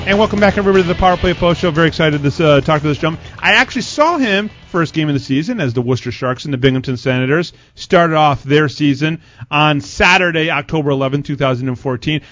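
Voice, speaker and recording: A man's voice: fairly high pitch, quick pace, few pauses, a bit bright, faint music behind. A man hosting a sports talk show, interviewing a guest in a conversational way. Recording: muffled, roomy sound.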